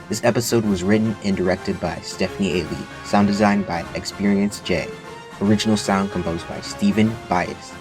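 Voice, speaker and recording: Guy reading show credits, deep-ish voice, scripted, music behind. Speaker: credits announcer. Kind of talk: scripted narration.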